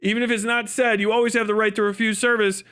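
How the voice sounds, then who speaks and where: High-pitched, blunt, profane American man ranting. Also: level tone, fast talker, a bit bright, smooth. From United States. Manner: emphatic, acts out mock dialogue. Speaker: livestream commentator. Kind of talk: unscripted livestream rant.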